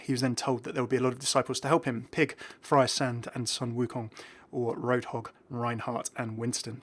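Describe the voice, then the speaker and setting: A man's voice: medium pitch, a bit bright, a little hiss or hum. Casual, enthusiastic male gaming commentator. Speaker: gaming video host.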